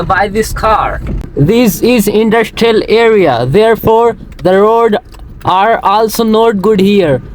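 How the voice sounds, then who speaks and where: A young man from India with an Indian English accent, whose first language is Hindi, narrating a casual vlog in simple English with many pauses and fillers. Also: sits high, slight background noise, rough recording. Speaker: vlogger. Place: outdoors in a busy industrial area and market.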